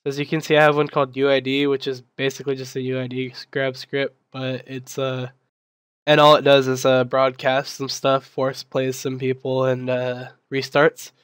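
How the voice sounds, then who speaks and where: A young man, his voice still weak from being sick, walks through a software tutorial in a casual way, full of ums and restarts. His delivery stays very flat and monotone, and the sound is smooth and clear. The recording is dry, close, and without room echo. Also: medium pitch, moderate pace. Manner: casual, filler-heavy explaining with restarts. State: recovering from losing his voice. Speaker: server admin giving a tutorial.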